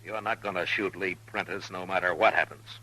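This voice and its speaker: An actor playing a stern, steadfast frontier marshal, firm and plainspoken, in 1950s radio western style. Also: male voice, low voice, steady tone, brisk, bright, forceful tone, low-quality recording. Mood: stern warning.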